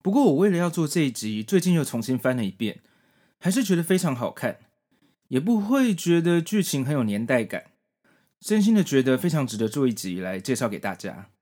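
A man's voice: medium pitch, very animated, brisk, warm tone. A man with a Taiwanese Mandarin accent presenting a podcast in a semi-scripted, explanatory narration style. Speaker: podcast host.

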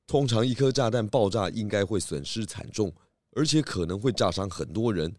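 A man playing a calm, deliberate, dryly sentimental pig detective in a children's audio mystery drama. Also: fairly low pitch, no echo, clean studio sound. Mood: pondering. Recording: music behind the voice.

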